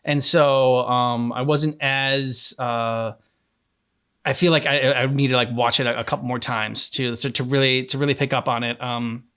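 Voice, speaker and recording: Guy co-host chatting thru his reaction, laid-back, loose phrasing, mid pitch. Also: fairly even intonation, normal speed, a bit bright, smooth. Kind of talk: casual reaction discussion.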